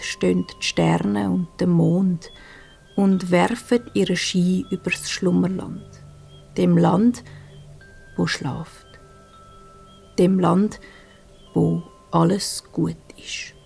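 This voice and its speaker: A woman with a Swiss German accent narrating a children's bedtime story softly and soothingly, breathy and hushed, with gentle pauses. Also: lowish voice, little pitch movement, faint music behind. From Switzerland.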